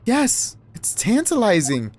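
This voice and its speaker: A man voicing a gentle, proper young woman assistant with courteous, formal phrasing. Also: high voice, wildly swooping pitch, slow, pitched above the performer's own voice, full character voice. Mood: polite.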